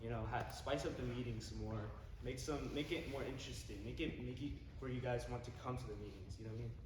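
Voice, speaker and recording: A teenage male candidate giving an informal speech to a group, halting and often rephrasing himself. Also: mid-range voice, steady tone. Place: large echoey indoor room. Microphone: distant microphone. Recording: echoey hall from far off, background noise.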